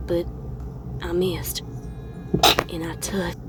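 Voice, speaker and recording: A woman playing a disfigured pony in a slow horror monologue, haunted and obsessive, breathy and often dropping to a whisper. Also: fairly low pitch, level tone, loud music behind the voice. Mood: morbid fascination. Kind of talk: slow horror monologue, scripted dramatic reading.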